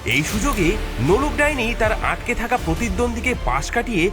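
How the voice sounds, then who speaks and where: Male cartoon narrator, dramatic scripted storytelling, high and very lively, loud music bed. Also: a little fast.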